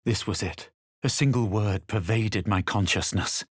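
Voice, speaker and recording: Low, husky, haughty adventurer. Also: male voice, unhurried, no echo, clean studio sound. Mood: grave narration. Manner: superior, clipped, dramatic. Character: haughty, self-assured adult male fantasy adventurer. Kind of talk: video game voice lines and narration.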